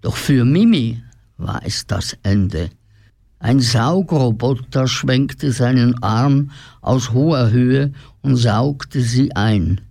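A man's voice: mid-range voice, creaky, darkish tone, no room sound, clean studio sound. A man narrating a scripted audio story.

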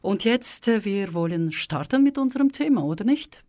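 A woman hosting a radio interview, speaking with many pauses and fillers. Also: mid-range voice, animated, dark tone. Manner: hesitant, with frequent fillers. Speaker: radio host.

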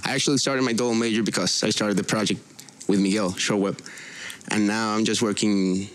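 A young man from Venezuela speaks as an interview guest. His voice sits fairly low, and he talks in a conversational way. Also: fairly even intonation, brisk. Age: young adult. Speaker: guest, startup co-founder.

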